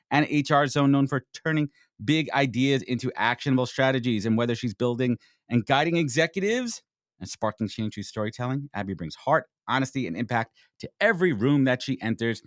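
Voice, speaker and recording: Lively Brooklyn-accented male host. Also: medium pitch, quick pace, no room sound, studio quality. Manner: energetic, blunt, joking. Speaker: podcast host. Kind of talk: casual interview chat.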